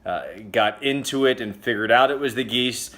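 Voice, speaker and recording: A man hosting a casual comedic news roundup, chatty and joking, with sudden loud exclamations. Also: medium pitch, moderate pace. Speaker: host.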